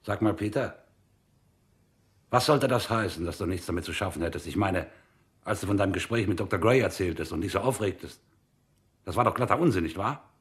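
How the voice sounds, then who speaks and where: A domineering male secret police captain, played by an actor in a radio play. His voice is fairly low and gruff. He interrogates impatiently with curt orders. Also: fairly quick, pauses often, lightly acted. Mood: probing. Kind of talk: radio drama acting.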